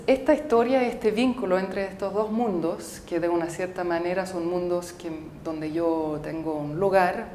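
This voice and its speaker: Woman, native English speaker, thirties, low voice, halting thoughtful answers, echoey room, faint rumble. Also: mellow. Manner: thoughtful, halting, with frequent fillers and restarts. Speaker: filmmaker interviewee. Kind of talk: casual interview answers.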